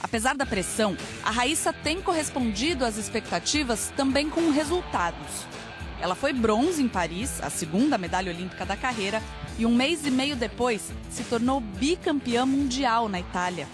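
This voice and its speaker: A woman's voice: fairly high pitch, expressive, moderate pace. Woman reporter narrating over music. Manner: polished, scripted narration.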